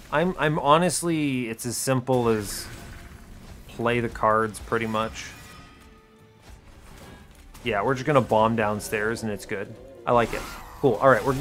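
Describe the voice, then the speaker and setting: A man with a medium-pitched voice talks through his moves as a gaming host. His commentary is casual and colloquial, with short wry asides as he reasons aloud. Game music plays faintly behind him. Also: a bit bright.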